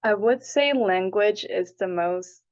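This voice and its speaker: A woman's voice: medium pitch, takes it slowly. A woman in her twenties from Taipei and Hong Kong, earnestly answering interview questions in a conversational way. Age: 20s. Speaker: interviewee, applicant. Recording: dull, lo-fi audio.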